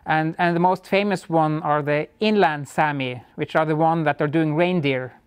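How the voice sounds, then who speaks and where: A man's voice: fairly high pitch, moderate pace, clean tone, no room sound. A man from northern Norway with a Norwegian accent, an engineer talking casually as an interview guest, with thoughtful restarts. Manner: thoughtful, frequent restarts and fillers.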